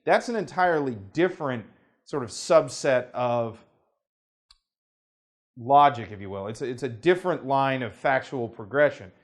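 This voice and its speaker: An American man talking through a legal process as an attorney. He speaks in a formal, measured way, with lawyerly phrasing and frequent qualifiers. His voice sits at an ordinary middle pitch. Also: lively intonation. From United States. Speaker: attorney presenting a legal explainer. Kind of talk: unscripted talking-head explanation.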